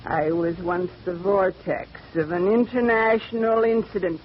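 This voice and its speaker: An actress playing a grandiose, boastful older former stage star in an old radio drama, with affected theatrical manners. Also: female voice, lowish voice, steady tone, measured pace, close to an everyday voice. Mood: boastful, wistful. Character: grandiose faded former actress called Duchess, boastful, tippling. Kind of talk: 1940s-50s radio drama acting. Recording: muffled vintage radio recording with faint noise.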